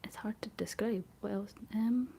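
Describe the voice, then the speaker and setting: A Scottish woman whispering a rambling, chatty ASMR talk in a breathy voice, laughing often as she goes. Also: mid-range voice, steady tone, moderate pace, dark tone, slight background noise. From Scotland. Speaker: ASMR creator.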